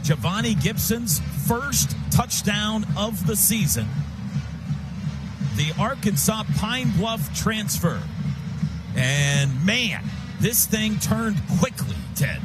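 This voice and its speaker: An American man working as a radio play-by-play announcer, calling live football in clipped phrases and getting excitable on big plays. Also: high-pitched, slow, over loud music. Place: stadium broadcast booth. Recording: clearly noisy live stadium radio broadcast.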